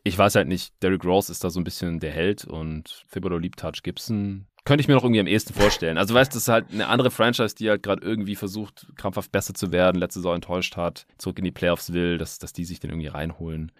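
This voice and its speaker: A man's voice: sits low, fairly even intonation, quick pace, few pauses, dry recording. A man hosting a basketball podcast in casual talk, explaining things colloquially with English sports jargon mixed in.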